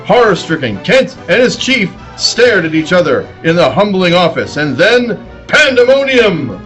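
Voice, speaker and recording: High, animated male radio-serial narrator. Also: a little slow, faint music behind. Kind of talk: dramatic 1940s radio serial narration, live table read.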